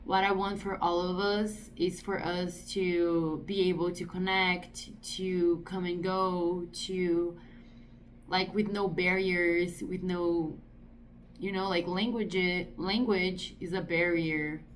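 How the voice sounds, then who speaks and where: A woman in her thirties from Brazil, Portuguese her first language, talking casually to camera in English with a Brazilian accent, often pausing to find her words. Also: on the low side, little pitch movement, a little hiss or hum. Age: 30s. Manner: thoughtful, searching for words with many "like"s. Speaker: artist vlogger.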